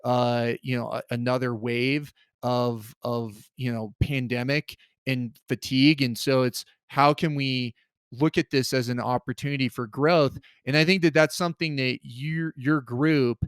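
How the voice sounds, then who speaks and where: A man of middle pitch hosts an interview, speaking haltingly with many restarts and fillers. His voice is smooth and clear, recorded dry and close. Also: fairly even intonation, dry recording. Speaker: podcast host. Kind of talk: interview questions.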